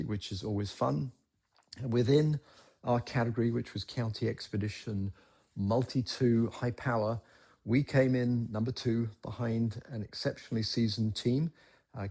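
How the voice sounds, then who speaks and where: A man giving an unscripted, matter-of-fact recap as a ham radio operator, in measured phrases. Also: lowish voice, creaky, dark tone, slightly rough. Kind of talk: unscripted explanatory recap to camera.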